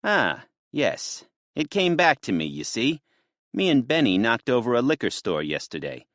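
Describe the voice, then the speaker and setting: A man voicing a menacing male mobster boss with an old-movie gangster manner, slow and mocking. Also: on the low side, sing-song, bright, forceful tone, no room sound, studio quality, heavily acted. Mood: taunting.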